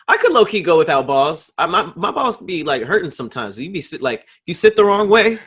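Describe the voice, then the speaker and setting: Male co-host, slightly high voice, dry incredulous reactions. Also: big pitch swings, fairly quick, a bit bright, slightly rough. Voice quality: says he has a high voice. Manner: wry, incredulous reactions with frequent laughing. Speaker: podcast co-host. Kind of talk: casual comedic chat.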